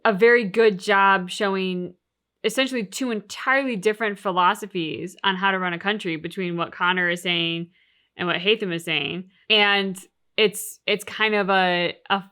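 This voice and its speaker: Woman with a very bright, crisp-edged voice. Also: medium pitch, fairly even intonation, moderate pace. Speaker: co-host of a video game reaction show. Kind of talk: casual, analytical discussion of a game's story.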